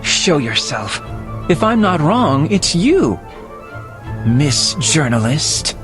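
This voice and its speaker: An actor playing a cold, suspicious gentleman who makes measured threats in a video game cutscene. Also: on the high side, big pitch swings, music in the background. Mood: menacing.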